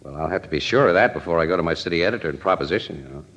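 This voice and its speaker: A shrewd, streetwise press photographer from an old radio crime show. His voice is low and his delivery clipped, slangy and wry. The dull, lo-fi recording sounds like vintage radio. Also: lively intonation, rapid-fire, fairly bright tone. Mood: guarded, businesslike. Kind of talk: old-time radio crime drama acting.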